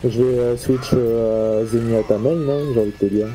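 A man's voice: mid-range voice, fairly even intonation, moderate pace, mellow, low-quality recording. A man on voice chat during a team shooter game, bantering and swearing casually with his teammate. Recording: game music behind.

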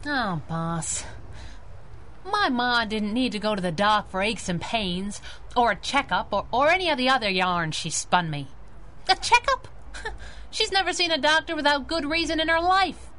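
A young masked crimefighting woman, protective and feisty, in a radio play. Her voice is bright and very animated, full of slangy, wisecracking tough talk. There is faint noise behind her. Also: a little high, moderate pace. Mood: worried, upset. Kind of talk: old-time radio drama acting.

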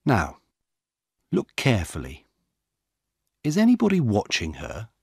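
A man voicing an earnest, methodical male police officer in a storybook, speaking in a deliberate, instructing manner. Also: on the low side, wildly swooping pitch, a little mellow, husky, dry recording, studio quality, heavily acted. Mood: focused, questioning. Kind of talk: audiobook character dialogue.

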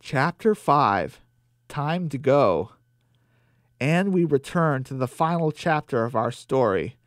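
A man's voice: medium pitch, lively intonation, slow pace. A man narrating a scripted comedy radio drama as its storyteller.

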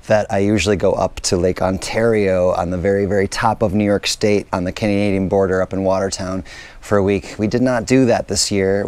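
Hoarse man chatting in his garden. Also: deep voice. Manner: chatty, relaxed, with many fillers. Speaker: gardening channel host. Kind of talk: casual garden tour vlog. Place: outdoors in a backyard garden.

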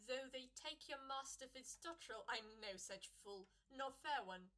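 A woman playing a proud, quick-witted young wife in an audio drama, speaking formally and theatrically as in early stage comedy. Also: high voice, expressive, bright, forceful tone, exaggerated voice. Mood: indignant. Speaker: amateur actor.

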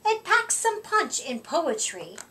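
A middle-aged woman reading a rhyming picture book aloud to children, with deliberate, expressive emphasis, recorded a short way from the mic. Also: extremely high pitch. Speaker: children's storytime reader. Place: indoor room, seated before a curtain. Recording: voice distant from the microphone.

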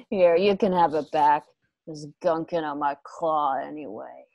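A woman performs a scarred, sadistic female outlaw for a radio Western. Her voice sits fairly low and moves slowly, with folksy menace. The sound is dry, from a home recording. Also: lively intonation, a little mellow, no echo, full character voice. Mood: sadistic, mocking. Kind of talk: Western radio play acting. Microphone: home video call.

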